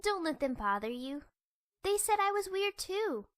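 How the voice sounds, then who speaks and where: A woman voicing a kind, whimsical little girl pony, gentle and earnest, in a cartoon-style comic dub. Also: extremely high pitch, big pitch swings, moderate pace, no echo, full character voice. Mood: gentle, friendly.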